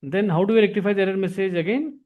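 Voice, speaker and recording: A man's voice: high voice, very fast, phone-quality sound. A man with an Indian accent giving an informal explanatory lesson as an instructor, often tagging sentences with filler words. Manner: repeats filler tags like "actually".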